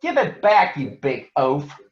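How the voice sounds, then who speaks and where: A man's voice: a little high, big pitch swings, takes it slowly, pitched above the performer's own voice. A man voicing an indignant, brave young boy bear cub in a children's storybook, earnest and protesting. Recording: roomy echo, dull lo-fi sound.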